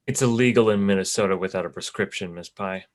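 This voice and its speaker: A man reads an insurance adjuster with a very low voice. He is formal and officious, regretful yet immovable, in a screenplay reading. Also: quick pace, a little hiss or hum, natural voice. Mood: apologetic, firm. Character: regretful but unbending perky insurance adjuster. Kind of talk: screenplay table read.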